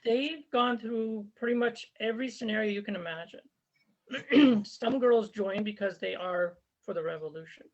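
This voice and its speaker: A woman's voice: medium pitch, moderate pace, darkish tone. A woman raised in Canada and born in Zambia, speaking earnestly and conversationally as an interview guest, with frequent fillers. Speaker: interview guest, former volunteer fighter. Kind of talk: casual spoken interview. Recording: dull lo-fi recording.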